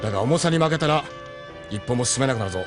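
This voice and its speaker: A man playing a gruff, steadfast ship captain and mentor in a Japanese audio drama, speaking bluntly and urgently. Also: mid-range voice, expressive, quick pace, over music, natural voice. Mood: earnest, encouraging.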